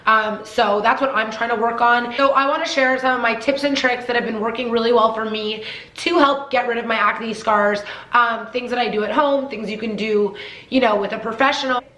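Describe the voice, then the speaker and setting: A young woman talks through skincare tips in a chatty, emphatic way, repeating herself to drive a point home. Her voice sits a touch high and sounds a little nasal and blocked from a cold. The room around her gives a noticeable echo. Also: fairly even intonation, normal speed, bright, forceful tone. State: congested from a cold, slightly nasal. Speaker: beauty vlogger. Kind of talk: casual skincare advice vlog.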